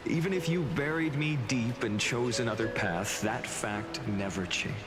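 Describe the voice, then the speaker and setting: An actor voicing a solemn, gentle and resolute male hero in a video game, with measured, earnest delivery and dramatic pauses. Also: medium pitch, close to an everyday voice. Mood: earnest resolve. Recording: game audio under loud music.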